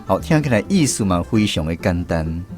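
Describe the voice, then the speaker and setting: A man with a Taiwanese accent hosting a radio language lesson in a measured, explanatory way. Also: sits low, very animated, darkish tone, soft background music. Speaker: radio show host.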